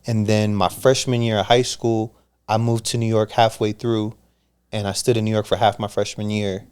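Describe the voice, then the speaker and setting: A man's voice: on the low side, little pitch movement, a little mellow, smooth. A man in his thirties, from Pennsylvania, talking as a podcast guest in a casual, slangy storytelling way. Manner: fast, slangy storytelling with frequent restarts. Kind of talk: casual conversation.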